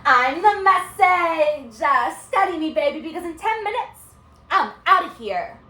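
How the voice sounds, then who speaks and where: A woman's voice: very high voice, lively intonation, a little slow, pushed and bright, echoey room, pitched above the performer's own voice, exaggerated voice. A woman playing a brash, flippant young female student in a solo dramatic performance, with slangy, theatrical delivery. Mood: preening.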